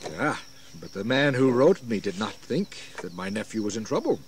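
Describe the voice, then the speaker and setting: A deferential colonial adviser with a mid-pitched voice and animated intonation. He is formal and polite, as in a history radio play, with faint noise behind. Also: normal speed. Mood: courteous concern. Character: deferential colonial gentleman counselling the governor. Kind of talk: historical audio drama acting. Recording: faintly noisy 1980s drama recording.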